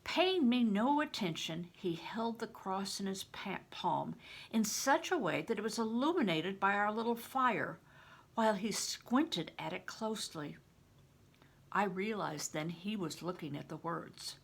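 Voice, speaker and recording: An older woman reading a storybook aloud as a grandmother would, deliberate and careful, narrating expressively. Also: mid-range voice, lively intonation, vocal fry, slightly rough. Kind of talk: expressive read-aloud narration with questions to listeners.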